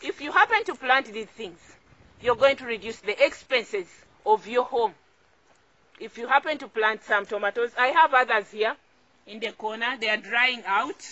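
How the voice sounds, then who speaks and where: A woman's voice: a little high, moderate pace, sharp tone, no echo. A young Ugandan woman speaking informally to camera as a farming host, encouraging and emphatic, repeating phrases for emphasis. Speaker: farmer and video host. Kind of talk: informal instructional vlog to camera. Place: small outdoor home garden. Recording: dull, lo-fi camera audio.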